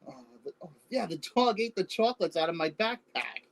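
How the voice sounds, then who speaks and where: Actor as older spouse in a stage play: very animated intonation, wry, bantering, conversational, fond. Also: mid-range voice, brisk, lightly acted. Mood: recalling. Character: wry, teasing older spouse reminiscing fondly. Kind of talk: staged reading of a short play.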